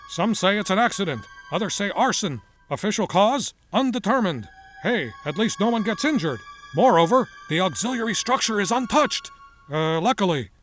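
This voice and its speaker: A man voicing an eccentric, excitable middle-aged inventor in a motion comic, theatrical, wordy and forever digressing. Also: sits high, rough recording. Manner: verbose, digressive, grandiose.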